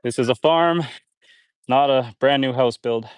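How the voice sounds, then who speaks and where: A man's voice: medium pitch, unhurried, clean tone, dry recording, studio quality. A man live-streaming from his homestead, chatting casually while he builds and reading viewer comments, sounding a little congested. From Canada. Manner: relaxed, chatty, reads viewer comments aloud. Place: outdoors on a homestead.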